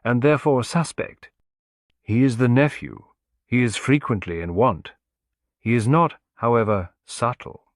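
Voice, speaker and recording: A man voicing a shrewd, courteous male detective who speaks in a mild, deliberate, philosophical way. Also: medium pitch, dry recording, studio quality, lightly acted. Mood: mild, musing.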